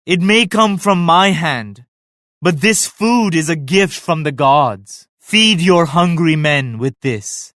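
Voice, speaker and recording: Regal game emperor, slightly high-pitched, pious, solemn, formal delivery, very dry recording. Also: male voice, fairly bright tone, dry recording. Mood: generous. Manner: formal, grave, pious declamation. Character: devout, solemn, regal Mughal emperor, grown man. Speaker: video game voice actor. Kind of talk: scripted game character lines.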